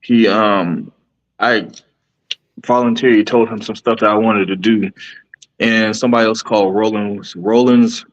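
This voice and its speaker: A man's voice: on the low side, steady tone, moderate pace, lo-fi sound. A man, a podcaster and musician, talking earnestly in a casual interview with frequent restarts. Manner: earnest, rambling, many restarts.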